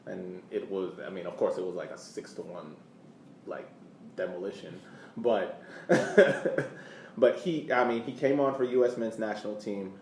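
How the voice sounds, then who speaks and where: An American man co-hosting a podcast, talking casually about sports in a halting way, repeating words as he searches for phrasing. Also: lowish voice, darkish tone. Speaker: podcast co-host. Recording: echoey room, distant mic, faint background noise.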